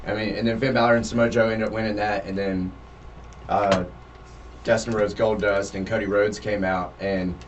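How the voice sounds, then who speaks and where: A lower-voiced man on a casual podcast, walking through events in an even, matter-of-fact manner. He is picked up from some distance, with faint room noise around him. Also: normal speed, highs cut off. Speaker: podcast co-host. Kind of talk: casual chat.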